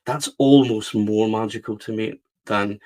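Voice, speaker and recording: A man's voice: fairly low pitch, steady tone, a little slow, a little mellow. A middle-aged man from the west of Scotland, speaking with a West of Scotland accent as a guest, telling his story earnestly with frequent restarts. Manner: earnest, repeats words as he restarts. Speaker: guest, publisher. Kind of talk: reflective storytelling interview.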